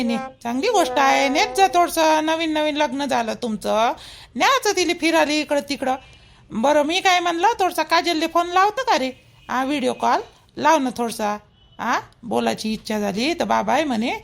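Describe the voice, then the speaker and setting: A woman's voice: high voice, lively intonation, normal speed, gravelly, slight background noise. A woman with a Vidarbha Marathi accent playing a caring, fussy mother-in-law in a village cartoon, insistent and repeating herself in a homely way. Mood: curious, coaxing.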